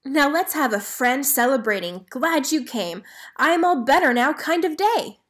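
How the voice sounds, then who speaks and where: Lady putting on a jolly old granny for a picture book, folksy and loving, voice pitched up. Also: lively intonation, normal speed, fairly bright tone. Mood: delighted. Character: jolly, affectionate country granny.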